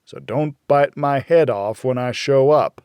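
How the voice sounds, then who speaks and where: A plain-spoken, caring buddy from a noir audiobook, acted by a male reader. He is blunt and folksy, with a middle-pitched voice. The recording is dry. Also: unhurried, a little mellow, clean tone, no echo, close to an everyday voice. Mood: concerned, firm. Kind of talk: hardboiled audiobook character dialogue.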